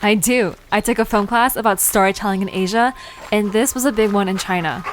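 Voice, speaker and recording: A woman's voice: medium pitch, brisk, slight background noise, natural voice, poor audio. A woman playing a curious, easily puzzled young visitor in an audio drama, talking in an informal, wry, inquisitive way. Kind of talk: conversational audio drama dialogue.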